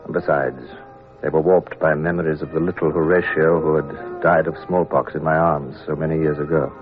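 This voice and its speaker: Brief: introspective British sea captain, very deep voice, tinny old radio sound. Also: male voice, level tone, faint music behind. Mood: reflective. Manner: introspective, measured and earnest. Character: reflective middle-aged male naval captain. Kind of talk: 1950s radio drama first-person narration.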